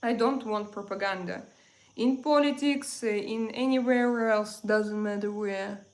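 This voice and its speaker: A woman from Russia with a Russian accent, chatting casually as a livestream host and answering viewers in halting English with repeated phrases. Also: mid-range voice.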